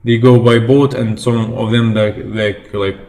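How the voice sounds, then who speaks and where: Slow, halting Algerian man on a stream. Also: medium pitch, level tone, darkish tone. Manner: halting non-native English, searching for words. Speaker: livestream host. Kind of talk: casual livestream chat with viewers.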